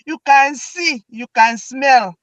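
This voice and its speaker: A woman's voice: sits high, slow pace, no echo, lo-fi sound. A woman from Nigeria with a Nigerian accent, hosting a casual chat and laughing often. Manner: laughs often, animated and chatty. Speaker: host.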